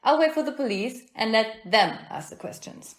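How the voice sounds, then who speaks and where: A woman's voice: a little high, lively intonation, moderate pace, natural voice. Woman acting feisty, defiant lady in echoey room. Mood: indignant, defiant. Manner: brusque, indignant, plain-spoken. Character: feisty, defiant single woman hotel resident, unflappable. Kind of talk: audio play acting.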